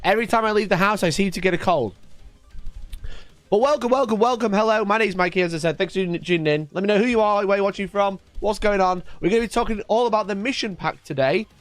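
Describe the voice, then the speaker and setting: A man hosting a casual live stream, energetic and chatty, with a congested voice from a cold. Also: high-pitched, fast, dry recording, soft background music. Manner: energetic and chatty, repeating his greetings. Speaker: livestream host.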